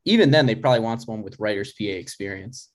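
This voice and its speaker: A man playing a skeptical grown son with a dry, halting delivery in a conversational comedy table read. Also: fairly low pitch, lively intonation, lightly acted. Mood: dry, teasing.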